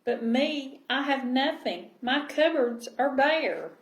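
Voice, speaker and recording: A woman voicing a gentle, downcast storybook bear in a plaintive way. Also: high-pitched, animated, takes it slowly, voice pushed up, full character voice. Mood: apologetic, sad. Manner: plaintive, sighing. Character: gentle bear, downcast at having nothing to share. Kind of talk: storybook character reading. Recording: roomy echo, clear background noise.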